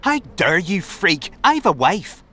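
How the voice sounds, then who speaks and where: A haughty fantasy nobleman full of indignation. His voice is high and piercing, swooping sharply as he protests in video game style. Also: big pitch swings, takes it slowly, pushed and bright, heavily acted. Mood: outraged. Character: indignant, haughty nobleman conspirator, easily outraged.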